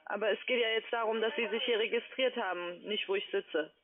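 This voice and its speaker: A woman's voice: mid-range voice, fairly even intonation, a little fast. A woman speaking in a curt, impatient way as a call center agent on a phone call from an open-plan office. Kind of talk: phone sales call turning into argument. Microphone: telephone.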